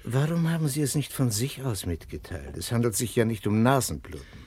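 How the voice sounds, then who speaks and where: An actor playing a stern, sceptical police inspector in a 1960s German radio crime drama, questioning in a dry, sarcastic way. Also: male voice, mid-range voice, expressive, fairly quick, a little mellow, close to an everyday voice. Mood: stern, pressing.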